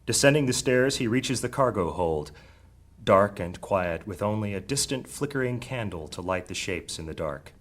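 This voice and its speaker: A man's voice: fairly low pitch, animated, normal speed. Male narrator of dramatic fantasy tales.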